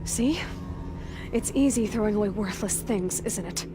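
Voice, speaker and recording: A woman plays a young huntress cast out from her tribe in a dramatic video game. Her voice sits at a middle pitch. She sounds earnest and stubborn with a wry, vulnerable edge, over soft background music. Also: normal speed, close to an everyday voice. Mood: bitter.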